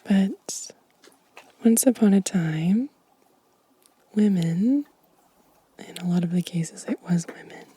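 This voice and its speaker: A woman softly whispering as an ASMR host, gentle and unhurried, with a breathy voice close to the microphone. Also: medium pitch, lively intonation. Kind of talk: soft-spoken whispered ASMR show and tell.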